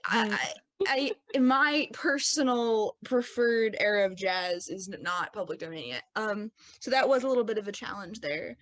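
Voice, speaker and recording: A woman's voice: mid-range voice, animated, moderate pace, a bit bright, dry recording. A woman chatting casually as a podcast actor and sound designer, explaining things in a rambling, self-correcting way. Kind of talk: casual panel chat, post-show commentary.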